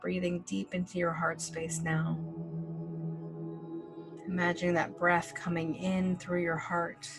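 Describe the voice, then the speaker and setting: A woman leading a guided breathing meditation, speaking slowly and gently with long pauses and casual fillers. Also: fairly low pitch, completely flat delivery. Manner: slow, gentle, soothing instructions with long pauses. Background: soft background music.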